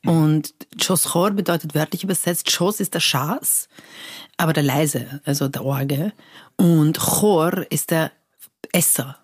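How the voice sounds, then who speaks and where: Low-voiced Persian-born woman, dry sound. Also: slow pace, husky, dry recording. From Iran. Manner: animated, lively storytelling with many fillers. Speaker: cabaret artist guest. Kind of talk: casual interview chat.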